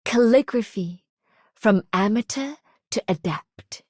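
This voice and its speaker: Kindly, earnest scholar, mid-pitched. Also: female voice, slow pace, a little mellow, no room sound, clean studio sound. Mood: kindly, encouraging. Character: kindly, earnest scholarly woman, gently instructive. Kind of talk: video game voice line acting.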